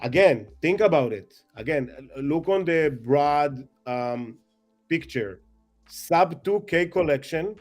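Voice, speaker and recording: Excitable rambling man, slightly raised pitch. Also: slow pace, soft background music. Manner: excitable, rambling, self-mocking, non-native phrasing. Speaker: guest. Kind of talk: casual chat.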